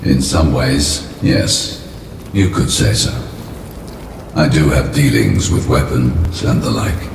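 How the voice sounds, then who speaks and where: A man playing a mysterious, imposing rune smith in a video game, speaking cryptically and grandly in a very deep gravelly voice. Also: takes it slowly, heavily acted. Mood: cryptic, calm. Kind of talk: video game character acting. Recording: distant, echoey, noisy storm ambience.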